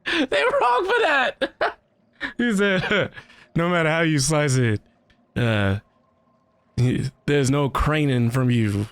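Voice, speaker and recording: A woman reacts casually to a video game as a streamer. Her voice is very low for a woman, with big lively swings in pitch. She talks in an informal, slangy way. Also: slow pace, long pauses, slight background noise. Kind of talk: casual live reaction commentary.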